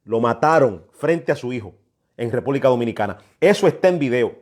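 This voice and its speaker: A man's voice: medium pitch, lively intonation, fairly quick. A man preaching a prophetic message, emphatic and admonishing, often repeating his phrases for weight. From New York, United States. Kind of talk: prophetic sermon, exhortation.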